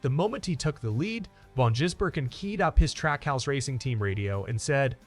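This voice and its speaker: A man's voice: mid-range voice, animated, normal speed. A man narrating scripted motorsport news in an upbeat, emphatic presenter style. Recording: music under the voice. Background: background music.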